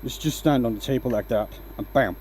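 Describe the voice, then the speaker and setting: A man from Denmark with a light Danish accent in a workshop, casually talking viewers through his woodturning. His voice is mellow and mid-pitched, and his manner is relaxed as he keeps checking in with "right?". Faint workshop noise sits under the voice. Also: a little fast. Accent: light Danish, mostly a mix of English accents picked up online. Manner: relaxed, explains as he works, asks "right?" often. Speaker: woodturning livestream host. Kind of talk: casual live-stream talk while working.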